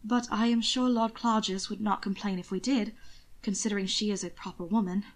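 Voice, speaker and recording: A coy, scheming young man, read by a woman speaks softly and deliberately in a storybook. The middle-pitched voice feigns innocence while staying languid and sly. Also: steady tone, close to an everyday voice. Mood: wry. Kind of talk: amateur audiobook character reading.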